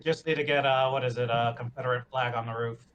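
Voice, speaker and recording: A man's voice: mid-range voice, steady tone, quick pace, a bit bright, highs cut off. A man talking casually as a player at a tabletop game. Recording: faint background noise.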